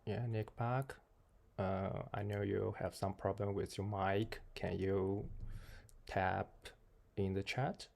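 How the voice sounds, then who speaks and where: Young male moderator, gravelly and unhurried, halting delivery of informal interview questions. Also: fairly low pitch, little pitch movement, a little mellow. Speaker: discussion moderator.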